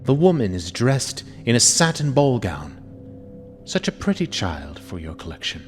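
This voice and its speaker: A man giving a theatrical, menacing dramatic reading of horror narration, deliberate and at times dropping into whispers. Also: mid-range voice, big pitch swings. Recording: long reverb over music. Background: music.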